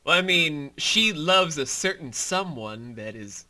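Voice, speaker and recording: Male creator-host, higher-pitched and sharply bright, rambling eagerly through informal commentary. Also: lively intonation, unhurried. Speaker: show creator co-hosting a commentary. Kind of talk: casual behind-the-scenes commentary chat.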